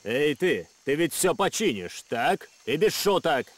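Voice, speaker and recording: An actor voicing a menacing, commanding adventurer in a jungle cartoon, speaking curtly. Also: male voice, a little high, very animated, measured pace, slight background noise. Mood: threatening. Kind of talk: animated series voice acting in Russian.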